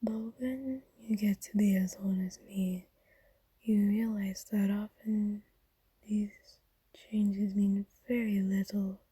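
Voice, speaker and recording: A woman playing an ancient, wealthy vampire, a kind but possessive owner, in a soft, soothing whispered ASMR roleplay with formal phrasing. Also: medium pitch, fairly even intonation, slow pace, breathy edge, no room sound, rough recording. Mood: calm, measured.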